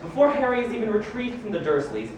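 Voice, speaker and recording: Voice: medium pitch, sing-song, quick pace, lightly acted. A performer playing a wizard headmaster who reads his own biography aloud to a live gathering, with theatrical delivery and wry, amused asides. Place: live book launch party in a room. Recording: echoey noisy room, distant microphone.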